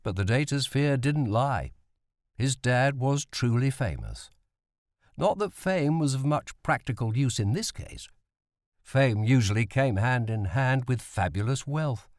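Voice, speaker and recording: A man's voice: medium pitch, sing-song, a little slow, no echo, clean studio sound. A man reading a novel as an audiobook narrator.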